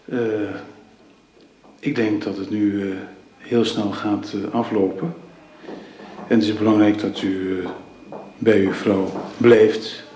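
An actor in a radio drama playing a considerate doctor, halting, tactful and measured. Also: male voice, fairly low pitch, steady tone, mellow. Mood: grave, gentle. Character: considerate, careful hospital doctor breaking bad news. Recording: roomy echo, faint background noise.

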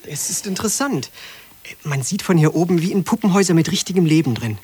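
A man's voice: on the high side, expressive, quick pace, darkish tone, slightly rough. A man playing a candid, solitary teacher in a naturalistic radio drama, speaking courteously and frankly. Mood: calm, candid. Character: candid, solitary male teacher and amateur painter.

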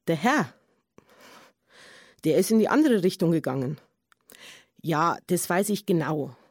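A woman's voice: mid-range voice, a little mellow, no room sound, lightly acted. Dry, close-sounding Bavarian witness, acted by a woman. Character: earnest married Munich woman giving witness testimony. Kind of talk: radio drama witness monologue.

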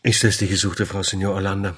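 An actor playing an exasperated male police investigator with a husky voice in a German radio crime drama. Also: sits low, level tone, fast, a bit bright.

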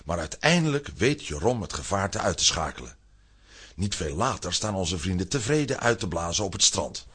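A man's voice: deep voice, very animated, normal speed, a bit bright, slightly rough. A man narrating a children's audio play in a scripted storytelling style.

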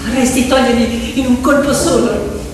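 A woman's voice: fairly high pitch, normal speed. A woman telling a story theatrically, in a poetic, emphatic manner with incantatory phrasing. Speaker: stage storyteller and actress. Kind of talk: dramatic theatrical storytelling with piano. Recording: echoey reverberant hall, distant mic, loud music. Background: piano music.

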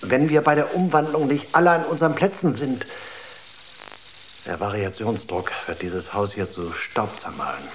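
A man's voice: on the low side, normal speed, natural voice. An actor in a German radio drama playing a worried, insistent spaceship crewman. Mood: urgent, alarmed. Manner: earnest, insistent. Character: worried, urgent male spaceship crewman arguing with colleagues. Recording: clearly noisy ambience behind the voice.

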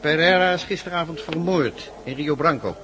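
A man's voice: on the high side, moderate pace, pushed and bright. A man playing a curt, officious policeman in a Dutch radio drama, formal and commanding. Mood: curt, stern. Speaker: actor in a radio play. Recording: noisy old radio play recording.

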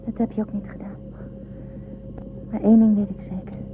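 An actress playing an emotional young woman in a science fiction radio drama, tender yet assertive, with expressive delivery. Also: mid-range voice, brisk, background noise, lightly acted. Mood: composed. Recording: thin phone-like radio recording, music behind.

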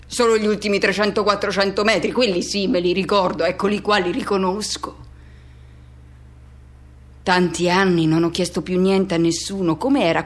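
A woman's voice: mid-range voice, close to an everyday voice. A woman playing a restless, solitary middle-aged man in a radio drama monologue, speaking colloquially in short broken phrases as if questioning himself. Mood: wistful, hesitant.

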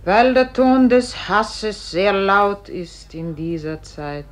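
An actress playing a calm spirit voice in a German radio play, measured, grave and oracular, on an old recording. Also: female voice, mid-range voice. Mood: grave, firm. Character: calm female spirit voice guiding a dead man's soul. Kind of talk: German radio play acting, solemn and poetic.

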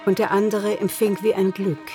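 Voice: mid-range voice, level tone, moderate pace. Narrator for a radio drama, literary storytelling, music playing behind.

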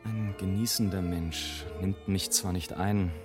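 A world-weary airship traveller in a radio drama. The voice is very low and unhurried, with a sardonic, measured literary delivery, and violin music plays along. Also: male voice, steady tone. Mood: musing. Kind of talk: literary first-person narration in a radio play.

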